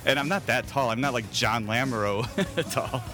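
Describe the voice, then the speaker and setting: A man's voice: mid-range voice, moderate pace, music in the background. A man hosting a podcast in casual, jokey conversation over an internet call, prone to chuckling. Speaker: podcast host. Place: remote Skype call.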